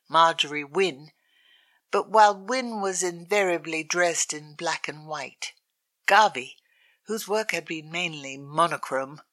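Bright-voiced woman reading aloud. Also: low voice. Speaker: reader. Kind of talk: scripted reading of an obituary.

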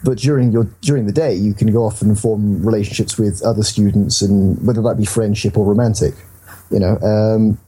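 A man's voice: fairly low pitch, steady tone, fairly quick, dark tone, breathy edge, rough recording. A man hosting a casual, rambling and enthusiastic discussion about games. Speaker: podcast host.

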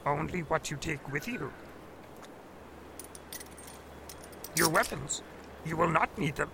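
A man playing an ancient, small alien Jedi master in an audio drama, wise and stern, with a gravelly strained voice and slow inverted phrasing. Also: fairly high pitch, expressive, noisy background. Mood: grave, ominous. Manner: inverted word order, slow deliberate teaching.

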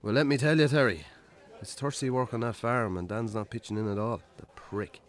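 An actor in a radio drama playing a weary, sardonic Irish farmer with a dry, colloquial, sweary way of talking. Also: male voice, mid-range voice, a little fast, close to an everyday voice. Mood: bitter, sardonic. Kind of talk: naturalistic radio drama acting.

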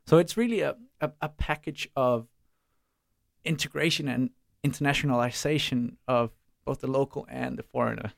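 A young man, answering interview questions casually and pausing to search for words. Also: medium pitch, creaky, no echo, clean studio sound. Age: young adult. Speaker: startup co-founder and student.

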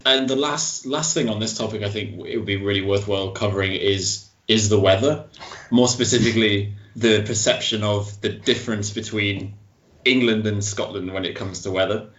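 A man's voice: on the low side, normal speed, fairly bright tone. A man hosting a casual panel discussion, easygoing as he moves the talk along and puts questions to the others. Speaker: discussion host. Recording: echoey room, dull lo-fi sound.

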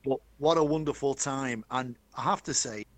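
A middle-aged man with a broad Manchester accent tells his story with lively enthusiasm, often turning to address the host by name. His voice sits at an ordinary middle pitch. The sound is dry, with no room echo. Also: normal speed. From Manchester, England. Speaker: guest, stadium announcer. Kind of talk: storytelling interview.

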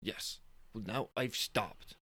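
A man's voice: fairly low pitch, slow, bright, forceful tone, no room sound, clean studio sound, heavily acted. A man playing an earnest, deluded would-be superhero boyfriend who makes solemn, self-important declarations. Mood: solemn, righteous.

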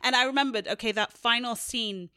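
A woman co-hosting a podcast in casual chat, easygoing and quick to laugh. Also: on the high side, sharp tone, clear, resonant tone, dry recording. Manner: laughs often, easygoing replies. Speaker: podcast co-host.

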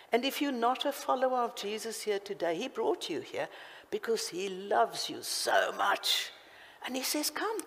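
A woman with a South African accent preaching a passionate, emphatic sermon. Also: fairly high pitch. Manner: passionate, emphatic, with sudden loud lifts. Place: church hall. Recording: reverberant hall.

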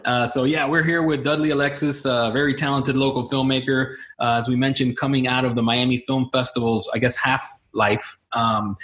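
A man's voice: mid-range voice, fairly bright tone. Upbeat guy cohosting a talk show, lots of uhs, middle voice. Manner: upbeat, filler-heavy. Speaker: co-host.

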